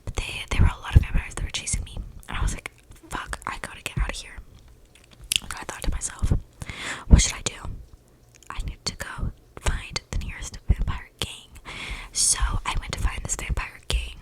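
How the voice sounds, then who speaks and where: A woman voicing an eccentric, flippant young vampire in a breathy ASMR whisper, rambling in casual slang close to the microphone. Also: normal speed, close to an everyday voice, poor audio. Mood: chatty, confiding. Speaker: ASMR roleplayer. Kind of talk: whispered ASMR storytelling roleplay. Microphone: close microphone picking up breaths.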